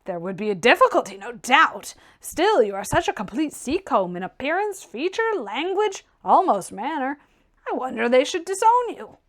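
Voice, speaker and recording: A woman voicing a blunt, fiery, mocking gentleman reformer in a forceful, declamatory audiobook reading. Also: sits high, big pitch swings, normal speed. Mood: pressing, curious.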